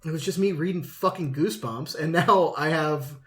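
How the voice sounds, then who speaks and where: A man co-hosting a podcast, bantering with friends as an animated, jokey storyteller who swears freely. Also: on the high side, normal speed. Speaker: podcast co-host. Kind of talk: casual banter among friends.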